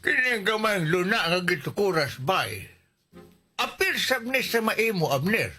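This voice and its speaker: An actor playing an elderly rural Filipino father in a radio melodrama, with a Cebuano accent and a folksy, halting, repetitive way of talking. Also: high voice, big pitch swings, bright, forceful tone, soft background music, heavily acted. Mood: matter-of-fact. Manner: folksy, halting, repeating his phrases. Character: elderly rural father dividing his land among his children. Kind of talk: melodramatic radio drama acting.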